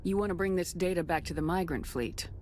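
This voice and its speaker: An actress voicing a female military commander who speaks calmly, directly and briefly. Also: lowish voice, brisk, slight background noise, lightly acted.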